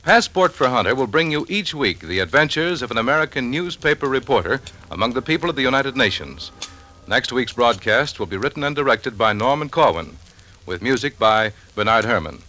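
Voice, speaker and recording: A man's voice: mid-range voice, animated, brisk, sharp tone, poor audio. A man working as a network announcer, reading in a formal, projected 1940s radio style.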